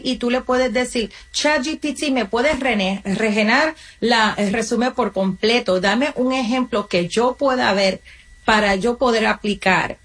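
A woman's voice: a little high, a bit bright. A woman answering interview questions as a community organization guest, explaining her advice with examples and addressing the listener directly. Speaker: guest, community nonprofit representative.

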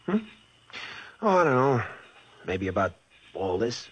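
A slangy young racetrack hustler in a vintage radio play. His voice is husky and breathy, with a lively, bouncing pitch that swings between smooth patter and low, guarded murmurs. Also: highs cut off, heavily acted. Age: young adult. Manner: glib, fast-talking, slangy. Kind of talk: 1940s radio acting.

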